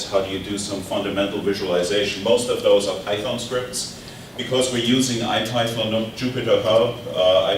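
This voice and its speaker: A middle-aged man with a German accent gives an informal workshop lecture, talking conversationally with many fillers and restarts. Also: on the low side. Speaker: physics professor teaching a workshop. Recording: distant mic in an echoey room with background noise.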